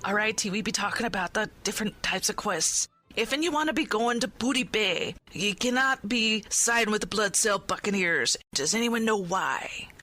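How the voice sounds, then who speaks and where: A commanding pirate captain, acted by a man leads the crew in a high, very bright and forceful voice. It is scripted podcast roleplay with faint music behind. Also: animated, moderate pace. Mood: commanding, hearty.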